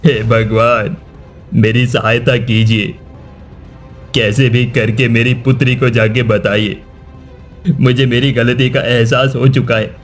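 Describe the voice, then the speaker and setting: A proud older king from an animated folk tale, acted by a man, speaks in a mid-pitched voice. His delivery is slow, royal and dramatic, with faint music behind it. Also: close to an everyday voice. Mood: remorseful, anguished. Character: proud, stern older king and father, later remorseful. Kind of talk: dramatic Hindi cartoon dubbing.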